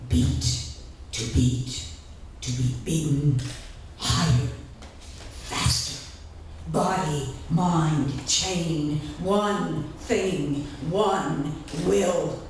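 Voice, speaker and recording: Very slow woman reading in echoey room. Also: sits low, darkish tone, recorded from a distance. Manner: slow, deliberate, pausing between short phrases. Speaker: author reading at a literary event. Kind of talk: live literary reading, dramatic recitation. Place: indoor reading event before an audience. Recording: echoey room from afar, faint noise.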